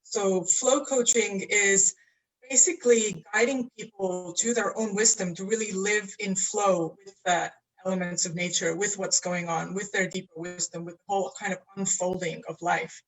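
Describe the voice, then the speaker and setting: A woman's voice: mid-range voice, steady tone, normal speed, low-quality recording. A woman from Estonia, an astrologer and coach, talking casually in an interview over a video call, earnest and searching for words.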